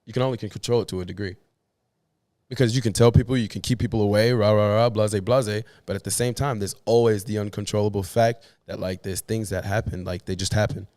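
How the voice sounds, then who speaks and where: A man speaks in a somewhat low voice, recorded dry and close. He talks casually as a podcast guest, thinking aloud and often restarting his sentences. His pace is loose and searching. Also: fairly even intonation, darkish tone, dry recording. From Australia. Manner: thoughtful, halting, repeats words while searching for phrasing. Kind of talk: casual conversation.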